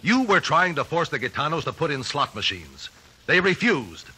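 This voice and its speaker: A man's voice: on the high side, big pitch swings, moderate pace, bright, forceful tone, husky, full character voice, poor audio. An American man playing a righteous, formal attorney in a 1950s radio courtroom drama. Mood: accusing, relentless. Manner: formal, declamatory. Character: forceful, righteous male attorney confronting a culprit in court.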